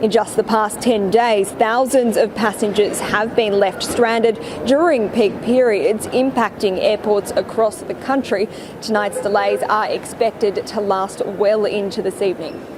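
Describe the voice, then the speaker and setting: A woman's voice: medium pitch, fairly even intonation, a little slow. A woman with an Australian accent giving a live news report as a field reporter. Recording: clear outdoor traffic noise.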